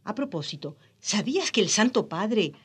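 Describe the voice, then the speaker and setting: A woman's voice: medium pitch, sing-song, normal speed, slightly rough. An actress playing a pious, scolding middle-aged Spanish wife and mother in a radio drama. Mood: earnest, pious.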